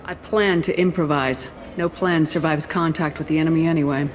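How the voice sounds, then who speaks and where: A woman's voice: deep voice, fairly even intonation, fairly quick, natural voice. An actress playing a hard-nosed female military commander in a video game, speaking bluntly and tersely. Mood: cool, confident.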